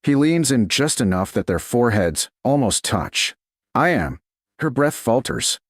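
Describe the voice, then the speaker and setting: A man narrating a scripted romance audiobook in short, deliberate, dramatic phrases. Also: mid-range voice, lively intonation, dry recording, clean studio sound.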